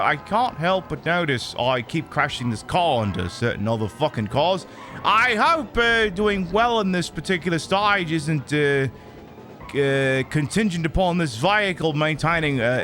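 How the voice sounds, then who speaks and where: Casting: male streamer playing a cheeky, foul-mouthed British bloke for rambling game commentary, exasperated, very animated delivery over music. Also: on the high side, heavily acted. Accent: put-on British. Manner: sweary, rambling, mock-indignant. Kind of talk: improvised live gaming commentary. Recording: music behind the voice.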